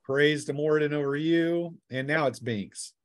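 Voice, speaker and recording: A man's voice: on the high side, a little slow, dry recording, studio quality. A man acting as game master, narrating a tabletop game in plain, colloquial play-by-play.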